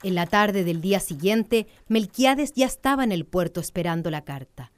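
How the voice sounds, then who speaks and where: A woman's voice: mid-range voice, animated, normal speed, no echo. A woman narrating a scripted radio drama in a solemn storytelling manner. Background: faint music.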